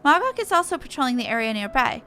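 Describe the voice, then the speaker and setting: A woman's voice: high voice, very animated, quick pace, pushed and bright, soft background music, full character voice. A woman voicing a small, earnest moogle guard creature, plucky and exclaiming, reading game dialogue expressively. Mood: earnest, dutiful.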